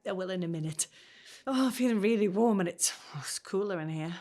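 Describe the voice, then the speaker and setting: An actress playing an affectionate young 1950s wife in a naturalistic audio drama, gently teasing and reassuring. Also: female voice, mid-range voice, lively intonation, fairly quick, lightly acted. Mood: flustered, reassuring. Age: young adult.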